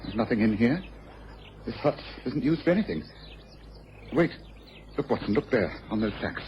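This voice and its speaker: An actor playing an incisive, commanding gentleman detective in a radio drama, speaking with crisp authority. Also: medium pitch, expressive, moderate pace, natural voice. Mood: urgent. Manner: assured, crisp, authoritative deductions. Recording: dull, lo-fi old radio recording with faint hiss.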